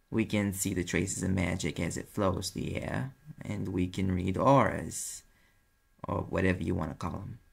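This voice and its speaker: A very low, heavily creaking male private eye, acted by a man with a New York accent. He is a brash, sardonic demon in an audio drama. His delivery is casual and defensive, with a rough husky edge. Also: exaggerated voice. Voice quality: gravelly, husky. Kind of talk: audio roleplay acting, conversational.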